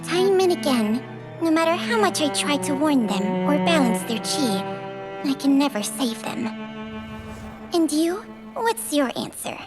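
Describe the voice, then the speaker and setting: A woman's voice: high-pitched, sing-song, a little slow. A woman voicing an old female snake spirit with a dry, teasing, weary way of talking. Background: game score music.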